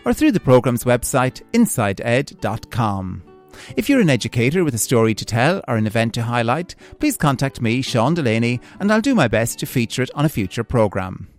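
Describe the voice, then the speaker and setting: A man with an Irish accent hosting a radio education programme, reading a scripted introduction and then asking interview questions in a clear, measured way. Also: medium pitch. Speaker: radio show host.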